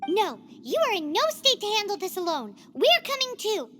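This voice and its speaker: A woman voicing a tiny childlike fairy companion in a video game, squeaky, earnest and plucky. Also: very animated, normal speed, heavy background noise. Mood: worried, plucky.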